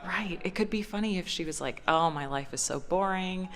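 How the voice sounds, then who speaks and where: A woman's voice: fairly low pitch, normal speed. A woman chatting casually as an interview guest, musing tentatively as she goes. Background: soft music.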